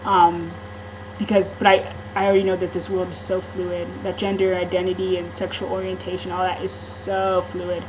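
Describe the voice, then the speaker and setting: A woman's voice: on the low side, completely flat delivery, normal speed, noisy background. A woman talking candidly in a casual vlog monologue, rambling, with frequent ums and restarts. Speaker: vlogger.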